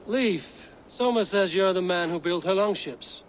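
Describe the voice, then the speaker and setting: A man's voice: sits high, a little slow, soft background music. A man playing a loyal Viking raider hero in a video game, composed and terse in delivery. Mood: steady. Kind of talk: dramatic video game acting.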